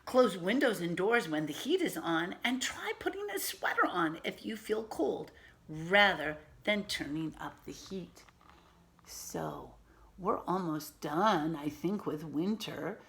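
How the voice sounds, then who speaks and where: A woman reading a picture book aloud to children as a teacher, expressively and patiently, pausing to explain and ask questions. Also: on the low side, very animated, vocal fry, fairly bright tone. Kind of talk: animated read-aloud with simple explanatory asides.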